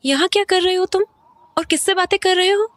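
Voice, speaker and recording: A woman's voice: very high-pitched, fairly even intonation, a little fast, a bit bright, no echo. An actress playing an uneasy, questioning mother and wife in an audio horror drama, mixing some English into her talk. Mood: scolding concern. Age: adult. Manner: questioning, conversational, Hindi mixed with English.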